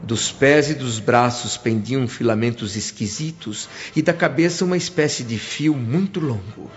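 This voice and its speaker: A man's voice: mid-range voice, normal speed, in a large hall, close to an everyday voice. Man acting an earnest Brazilian spirit storyteller, muffled sound. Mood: frightened. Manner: reflective, literary first-person storytelling. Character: earnest, remorseful male spirit recounting his afterlife. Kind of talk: radio drama narration and dialogue. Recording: muffled audio with music behind. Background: background music.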